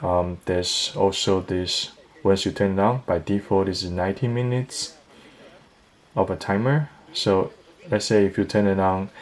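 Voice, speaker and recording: A man's voice: low-pitched, darkish tone. A man giving a casual product review, explaining things step by step in a hesitant way.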